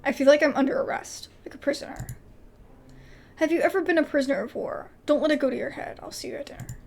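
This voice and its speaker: A woman's voice: high voice, fast talker, vocal fry, darkish tone. A woman doing casual video game commentary and reading dialogue aloud, with informal, exclamatory asides.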